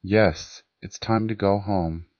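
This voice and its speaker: Middle-aged male lover, played by an actor, reserved and resigned, very deep and unhurried, slightly dull audiobook recording. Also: steady tone, darkish tone, no echo. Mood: subdued. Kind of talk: audiobook character dialogue.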